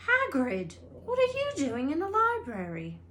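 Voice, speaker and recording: A woman voicing an excitable, blunt schoolboy in a storybook, talking emphatically and informally. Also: high voice, measured pace, slight background noise, voice pushed up, heavily acted. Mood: surprised. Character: excitable, blunt schoolboy, eager know-it-all about dragons.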